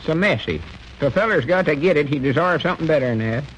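A man's voice: fairly high pitch, lively intonation, fast, slight background noise, close to an everyday voice, low-quality recording. An actor playing an older rural grandpa who teases his friend, drawling folksy country dialect in old-time radio comedy style. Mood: teasing. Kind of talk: 1930s radio comedy acting.

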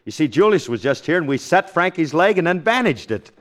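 An actor playing a good-natured husband tending a hurt pal, talking in a folksy, slangy way in 1940s radio comedy style. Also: male voice, on the high side, sing-song, a little fast.

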